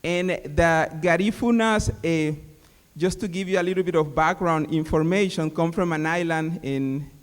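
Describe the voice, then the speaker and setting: A man's voice: a little high, normal speed, smooth. A man from Honduras, giving a hesitant panel talk as a city official, with many fillers. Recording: reverberant hall.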